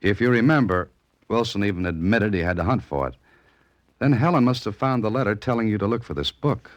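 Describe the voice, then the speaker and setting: Male sleuth acted, 1940s radio mystery. Low register, expressive swings, wry understatement and cool nerve. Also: a little fast, no echo, natural voice. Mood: explaining. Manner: dry, understated, teasing. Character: cool, wry novelist turned amateur sleuth, a man. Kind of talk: 1940s radio drama acting and first-person narration. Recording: vintage radio recording with music.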